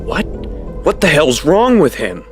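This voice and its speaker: A man's voice: fairly high pitch, wildly swooping pitch, measured pace. An actor playing an agitated, disbelieving teenage boy in an audio drama. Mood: scornful. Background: prominent scary music.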